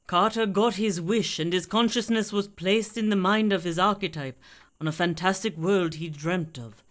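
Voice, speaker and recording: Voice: medium pitch, normal speed, fairly bright tone, natural voice. An actor in a radio play playing a mysterious veiled swami who tells strange tales in a grave, formal, portentous manner. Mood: grave, cryptic.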